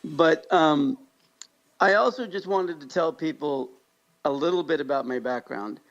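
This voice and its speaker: An American man with a slightly high voice and a creaky, gravelly edge chats as a podcast co-host. He tells stories in an upbeat, jokey, conversational way. The audio is a little dull and muffled. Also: unhurried. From Pakistan-born, grew up in Kansas, United States. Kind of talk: casual conversational podcast chat.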